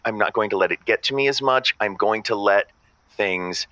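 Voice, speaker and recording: A man's voice: fairly low pitch, normal speed, sharp tone, no room sound. An actor playing an exasperated, pedantic man who calls a radio show, fussy and insistent, repeating himself in a comedic audio drama. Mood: aggrieved, resigned. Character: exasperated, pedantic man phoning in about his partner.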